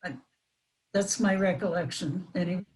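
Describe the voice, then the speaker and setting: An older woman, a guest on a panel, reminiscing in a halting, thoughtful way. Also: fairly low pitch, darkish tone. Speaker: panel guest, former child radio actress.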